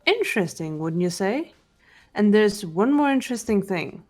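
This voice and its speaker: A woman's voice: medium pitch, animated, moderate pace, a little mellow, soft background music, lightly acted. A woman voicing a composed, unemotional teenage girl investigator in a visual novel game, speaking precisely and matter-of-factly. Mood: calm, analytical. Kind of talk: scripted visual novel voice acting.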